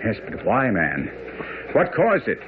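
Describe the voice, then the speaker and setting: A sharp confident detective in an old radio play, really lively swings, thin phone-like sound. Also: male voice, lowish voice. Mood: incisive. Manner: brisk, incisive, lecturing. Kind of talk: radio drama acting. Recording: phone-like, faintly noisy old radio broadcast.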